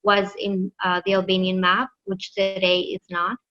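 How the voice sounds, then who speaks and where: A woman's voice: medium pitch, monotone, normal speed, pushed and bright, dull audio. Hesitant woman. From Greece. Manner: hesitant, many fillers and restarts. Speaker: student presenter. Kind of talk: class presentation.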